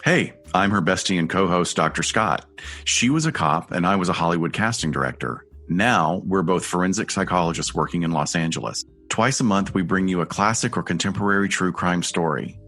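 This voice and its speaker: A man's voice: low voice, expressive, brisk, a bit bright, faint music behind. A man delivering a conversational scripted promo as a podcast co-host.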